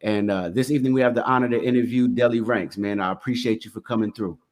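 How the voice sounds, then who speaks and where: A man hosting a podcast in a laid-back way, asking questions and warmly affirming his guest. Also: mid-range voice, fairly even intonation, quick pace. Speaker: podcast host. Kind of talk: casual interview hosting.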